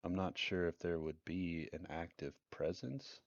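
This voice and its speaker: A man with a low voice plays in a tabletop roleplaying game. He explains the scene haltingly and repeats phrases as he goes. The recording is dry but dull and lo-fi. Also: no echo. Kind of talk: casual in-character tabletop game discussion.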